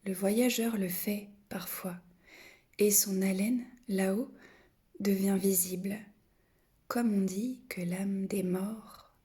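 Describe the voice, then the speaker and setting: Slow, hushed woman reciting poetry. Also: medium pitch. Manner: measured, contemplative phrasing with pauses. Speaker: poetry reader. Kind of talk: solemn literary poetry recitation.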